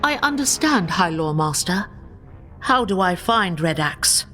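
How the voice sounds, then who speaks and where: Young female elf warrior, bold, proud, challenging, deliberate pace, lively intonation, game drama, music behind. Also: lowish voice, full character voice. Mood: urgent. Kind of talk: dramatic video game acting.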